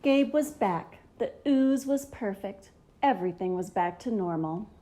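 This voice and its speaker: Female children's story narrator: mellow tone, mid pitch, enthusiastic and theatrical delivery. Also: lively intonation, unhurried, a little hiss or hum. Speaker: storybook reader, channel host.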